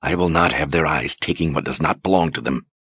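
A man voicing a cold, aloof sorceress who speaks with slow, quiet menace. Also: very deep voice, slightly rough, no echo, heavily acted. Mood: icy.

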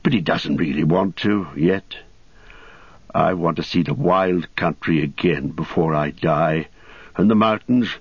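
A man's voice: sits low, a little slow, slightly rough, no room sound, lo-fi sound, exaggerated voice. A man voicing an old, kindly but prickly and possessive hobbit in an audiobook, with an agitated, defensive manner. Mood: fond, wistful. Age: older. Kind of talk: audiobook character acting.

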